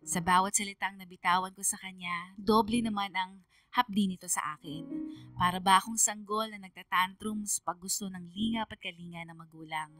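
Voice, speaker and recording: A woman's voice: medium pitch, a bit bright, far from the mic, natural voice. A woman with a Filipino accent playing a sentimental young daughter, narrating her heartfelt letter in a radio drama. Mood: sentimental, happy. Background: music.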